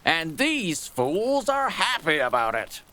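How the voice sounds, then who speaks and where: A man voicing a gruff, scornful old Japanese soldier in a storybook tale, with emphatic, contemptuous delivery. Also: a little high, wildly swooping pitch, pushed and bright, voice pushed up, heavily acted. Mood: angry, dismissive. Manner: emphatic, contemptuous, drawn-out key words. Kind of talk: storybook character dialogue.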